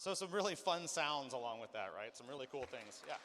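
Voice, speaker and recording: Fast-talking man presenting in a reverberant hall. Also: mid-range voice, lively intonation, background noise. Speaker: music coordinator and sound designer giving a clinic. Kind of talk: spoken clinic presentation with demonstrations. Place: large hall, live clinic performance.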